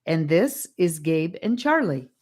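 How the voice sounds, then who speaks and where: A woman voicing a serious, wise male guardian who speaks calmly. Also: low voice, unhurried, husky, heavily acted. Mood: earnest. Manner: calm and serious.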